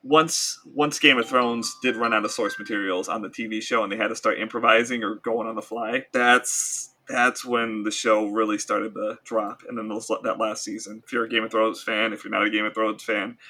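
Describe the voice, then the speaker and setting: A man co-hosting a podcast in casual discussion, often repeating words haltingly as he works through his explanations. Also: on the low side, little pitch movement, sharp tone. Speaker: podcast co-host. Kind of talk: casual pop culture discussion.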